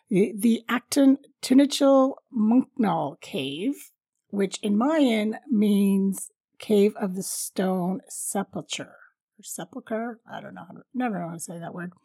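A middle-aged woman with a raspy voice hosting a travel podcast in an informal, upbeat, jokey, chatty way. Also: medium pitch, dark tone. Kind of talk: chatty solo podcast storytelling.

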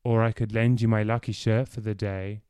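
A man voicing a dreamy, stoned, laid-back young man who drawls slowly. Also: fairly low pitch, level tone, dark tone, clear, resonant tone, no room sound, studio quality, lightly acted. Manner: slow, drawling, hazy.

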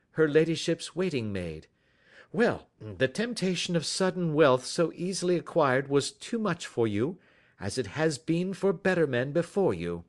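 A man playing a shrewd, self-assured gentleman detective in an audiobook, speaking precisely with suave, gentle mockery. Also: fairly high pitch, pitched above the performer's own voice.

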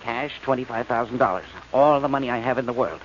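A man's voice: medium pitch, quick pace, natural voice. An actor playing a nervous, stammering businessman in an old-time radio crime drama. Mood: worried. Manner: stammering, agitated. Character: nervous, flustered businessman, a robbed moneylender. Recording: dull, noisy old radio broadcast.

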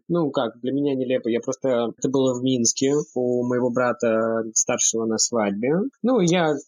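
A man's voice: medium pitch, moderate pace, darkish tone, no room sound. A man who grew up in Jordan, a podcast guest telling his story in an emotional, mannered, self-mocking way. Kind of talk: casual interview storytelling.

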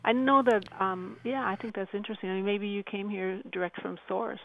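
A woman speaking as a radio interview guest, answering conversationally in an earnest, reflective and halting way. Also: mid-range voice, fairly even intonation, gravelly, poor audio.

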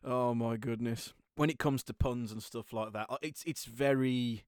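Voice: mid-range voice, steady tone, moderate pace, a little mellow, no room sound, clean studio sound. A podcast host in casual chat who laughs often and stumbles over repeated words.

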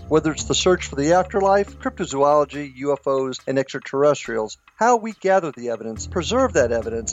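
A man's voice: mid-range voice, lo-fi sound. A middle-aged or older man delivering a scripted radio promo as a show host. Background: music.

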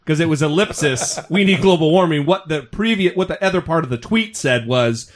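A man's voice: fairly high pitch, brisk. A man hosting a podcast in casual comedic chat, emphatic and given to swearing. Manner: animated, emphatic, profane. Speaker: podcast host.

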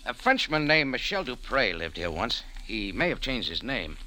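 An actor playing a wry, tough American café owner and sleuth in a hard-boiled 1940s radio drama style. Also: male voice, mid-range voice, very animated, normal speed, sharp tone, poor audio. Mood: brisk, probing. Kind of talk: 1940s radio acting, first-person narration and dialogue. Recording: vintage radio recording.

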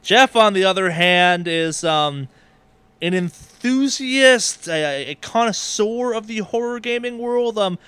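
A man's voice: sits high, expressive, takes it slowly, fairly bright tone, clean tone, dry recording. A man hosting a gaming podcast in casual chat, with a wry, casually profane way of talking. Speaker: podcast host.

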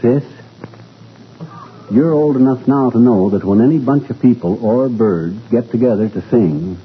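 A man's voice: mid-range voice, moderate pace, close to an everyday voice. A man playing a folksy, blustery middle-aged husband who jokes and spins yarns in a 1940s radio comedy. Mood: storytelling. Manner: folksy, colloquial, chuckling. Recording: tinny, noisy old radio recording.

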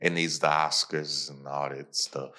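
A young man hosting a podcast, talking casually with slang and animated, quick bursts of storytelling. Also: very deep voice. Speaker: podcast host.

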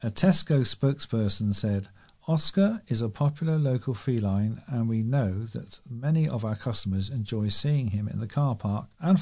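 Mellow British man reading local news. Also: on the low side, slightly rough. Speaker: volunteer newsreader.

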